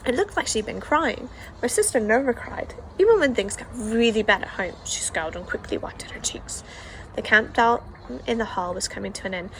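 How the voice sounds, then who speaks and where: A woman narrates a children's novel in the first person, bringing the story to life with expressive reading. Her voice sits a little high and carries a gravelly texture. A faint hiss runs under the recording. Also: a little fast, rough recording. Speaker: audiobook reader.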